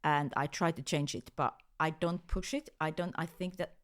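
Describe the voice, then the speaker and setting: A woman from Finland in her forties with a Finnish accent, answering interview questions hesitantly, with many pauses and restarts. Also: sits low, fairly even intonation. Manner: hesitant, many ums and restarts, light laughs. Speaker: guest, music photographer.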